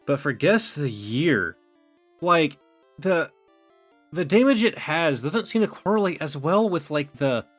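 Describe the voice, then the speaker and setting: A man's voice: on the high side, very animated, measured pace, faint music behind. A young man with a worn, washed-out voice, chatting casually as a host while opening trading cards, rambling and filling his talk with "like" and "you know.". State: voice going out, thin and washed out. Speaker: card-opening YouTuber.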